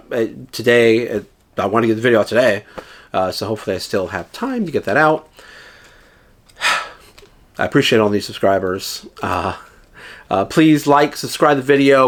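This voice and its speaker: A man's voice: medium pitch, brisk. Nasal, rambling man giving vlog advice. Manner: rambling, many fillers and restarts. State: nasal and dry-throated from illness. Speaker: reseller YouTuber. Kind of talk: casual vlog advice to viewers.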